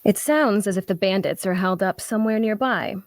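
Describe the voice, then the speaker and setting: A woman's voice: medium pitch, a little fast, no room sound, studio quality, natural voice. Astute, courteous adventuress played by a woman. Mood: analytical, confiding. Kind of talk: audio drama table-read dialogue.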